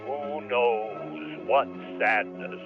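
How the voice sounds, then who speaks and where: A sinister old-time radio narrator, menacing and theatrical. The delivery crawls and swoops up and down with relish. The sound is dull and lo-fi. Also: male voice, lowish voice, very animated, over music, heavily acted. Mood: ominous. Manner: melodramatic, drawn-out delivery. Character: sinister mysterious 1930s radio mystery narrator, adult man, parody. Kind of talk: old-time radio mystery parody commercial.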